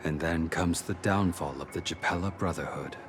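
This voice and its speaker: Man voicing a very deep, grim, brooding assassin. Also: normal speed, natural voice. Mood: grim, stern. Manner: deadpan, terse. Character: grim brooding male assassin, calm, weary of living. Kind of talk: video game voice acting. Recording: game music under the voice.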